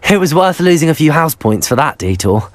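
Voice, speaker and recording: A man's voice: a little high, very animated, fairly quick. A man voicing a polite, confident young student adventurer in a video game. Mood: game, relaxed. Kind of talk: video game character acting.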